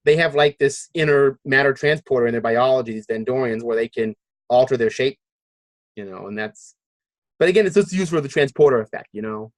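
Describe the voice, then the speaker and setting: A man hosting a casual podcast discussion, halting as he thinks aloud. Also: mid-range voice, no room sound. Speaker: podcast host.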